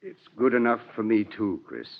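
A genial male boss in a forties radio play. He is plain-spoken, with a fairly low, lively voice. The old recording is dull. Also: moderate pace, gravelly, full character voice. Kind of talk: 1940s radio drama acting. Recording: muffled vintage radio recording.

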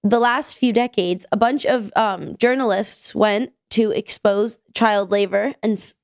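A woman's voice: medium pitch, steady tone, a little slow, no room sound, clean studio sound. A woman co-hosting a casual podcast, chatty and sick with a nasal voice. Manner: chatty, tells stories with acted quotes. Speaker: podcast co-host.

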